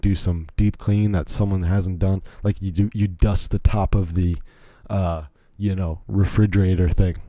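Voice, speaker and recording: A man's voice: sits low, dark tone, no echo. A young American man from Virginia hosting a solo podcast, telling stories in a rambling, chatty way with many asides. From Virginia, United States. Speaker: podcast host. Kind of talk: casual solo podcast storytelling.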